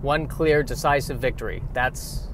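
A man hosting a podcast alone in a rambling comic monologue, halting and repeating his phrases. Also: medium pitch, background noise. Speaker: solo podcast host.

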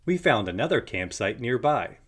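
A man narrating a picture book aloud for young children in an expressive storytelling manner. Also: mid-range voice, moderate pace.

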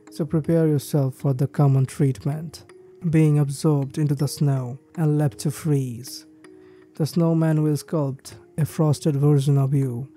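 A man reading a creepypasta list of ski resort rules in a steady, measured scripted narration. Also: fairly high pitch. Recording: faint background music.

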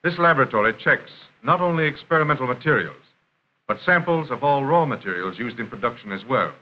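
A man's voice: medium pitch, lively intonation. Muffled 1930s American film narrator, male. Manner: formal, measured announcer delivery. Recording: dull, noisy 1930s film soundtrack.

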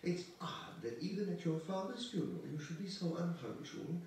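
Imperious, high-strung widow for an audiobook male reader, high-pitched, heard from afar in an echoing space. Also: lively intonation, normal speed, a little mellow. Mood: fussy reproach. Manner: fussy, imperious, scolding. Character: haughty, high-strung fifty-ish widowed mother at funeral dinner. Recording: echoey, distant, faint background noise.

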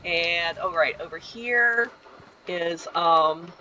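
A woman's voice: lowish voice, slow, bright, forceful tone, a little hiss or hum, poor audio. A woman giving a rambling, casual voice-over tour of a house built inside a video game, full of ums and side remarks. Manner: chatty and rambling, with frequent ums and asides.

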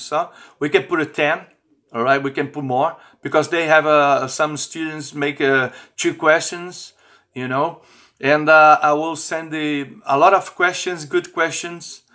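A man in his fifties, not a native English speaker, talking informally to camera in halting, repetitive phrasing. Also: fairly high pitch, bright, forceful tone. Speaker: amateur radio operator and vlogger. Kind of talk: informal video message to camera. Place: small bedroom, indoors.

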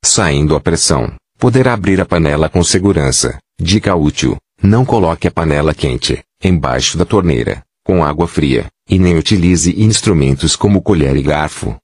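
Deep husky guy doing a steady how-to voiceover, dry close sound. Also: no echo. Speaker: kitchen safety tips narrator. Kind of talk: scripted voice-over narration.

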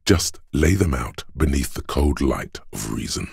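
Very deep, gravelly voice of cold logic. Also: male voice, animated, darkish tone, no room sound, studio quality, full character voice. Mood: coolly deliberate. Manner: measured, deliberate, analytical. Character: cold inner voice of logic in a detective's mind. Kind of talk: video game narration.

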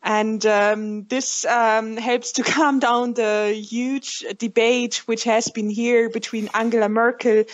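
A woman's voice: a little high, flat delivery, takes it slowly, few pauses. Hesitant woman on a dull line. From Germany. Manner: explanatory, hesitant with frequent ums. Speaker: reporter guest. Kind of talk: radio discussion.

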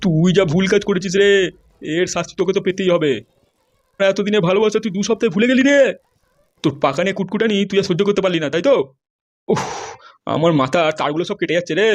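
A man's voice: high voice, fast talker, close to an everyday voice. A man voicing a furious, foul-mouthed politician husband in a comedy cartoon, scolding and accusing. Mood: bitter, scolding.

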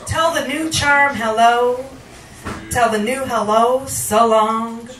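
Bright-voiced woman reciting poetry, roomy sound. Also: on the high side, recorded from a distance. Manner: dramatic, deliberate phrasing with pauses, occasionally sing-song. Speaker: featured poet at a reading. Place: small wood-paneled bar venue, indoors. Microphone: handheld microphone, filmed from across the room. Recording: roomy live recording from afar, background noise.

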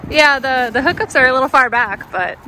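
A woman's voice: sits high, a little fast, sharp tone, a little hiss or hum, poor audio. A young woman chatting casually for a travel vlog, upbeat and explaining things as she goes. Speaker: RV travel vlogger. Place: outdoors at an RV park, then inside a pickup truck.

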